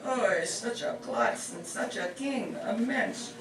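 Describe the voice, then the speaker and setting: A man's voice: high voice, takes it slowly, fairly bright tone, full character voice. A man playing a fussy, grumbling immigrant mother in a comic radio play. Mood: wry, curious. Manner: kvetching, Yiddish-sprinkled talk. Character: fussy old-country immigrant mother, bewildered, grumbling, doting. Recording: roomy, distant stage mic, clear noise.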